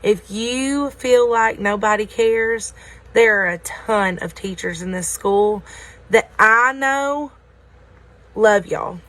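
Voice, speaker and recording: A woman's voice: mid-range voice, takes it slowly, fairly bright tone, poor audio. A woman reading a children's story aloud. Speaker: story reader at a school.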